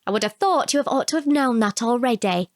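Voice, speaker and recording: A woman's voice: on the high side, animated, fairly quick, dry recording, clean studio sound, voice pushed up, lightly acted. A woman voicing a prickly, defensive woman householder in a short story reading. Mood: snappy. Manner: snappy, defensive. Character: suspicious, prickly woman householder, easily flustered.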